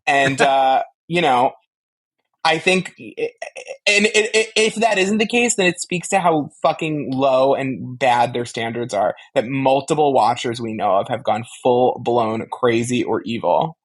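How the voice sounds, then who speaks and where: A man's voice: mid-range voice, a little slow. Opinionated man chatting as podcast co-host. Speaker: podcast co-host discussing a TV franchise. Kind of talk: casual fan discussion.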